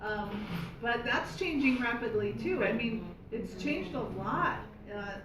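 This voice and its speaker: A woman's voice: on the high side, expressive, unhurried, crowd noise. A woman taking part in a meeting discussion, adding short points. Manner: brief affirmations, adds points. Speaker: meeting participant. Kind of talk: casual discussion. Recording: roomy, distant, noisy room recording.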